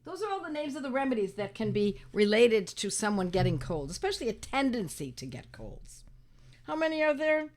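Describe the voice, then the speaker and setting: An older woman talks through her cold, her voice raspy and a little hoarse. She sits a touch above the usual pitch and lets it rise and fall a lot. She leans hard on each point and repeats lines to drive them home. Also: brisk. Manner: animated and emphatic, repeats phrases, talks to viewers as friends. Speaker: homeopathy teacher hosting her own channel. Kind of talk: casual talk to camera.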